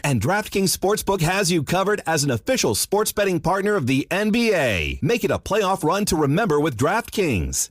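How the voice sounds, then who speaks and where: A man's voice: mid-range voice, dry recording, clean studio sound. A man reading a scripted advertisement as an announcer. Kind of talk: scripted ad read.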